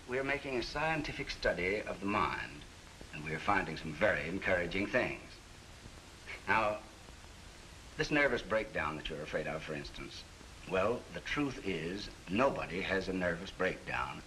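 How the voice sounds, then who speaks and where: A man playing a calm, reassuring psychiatrist in a 1940s training film, explaining things in a measured way. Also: low voice, a little hiss or hum, low-quality recording.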